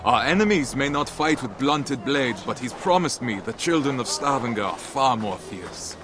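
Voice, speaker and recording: An actor playing a proud, earnest Viking trader and husband in a video game cutscene. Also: male voice, medium pitch, expressive, normal speed, noisy background, close to an everyday voice. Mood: easy, wry. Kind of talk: dramatic game cutscene acting. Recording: music behind the voice.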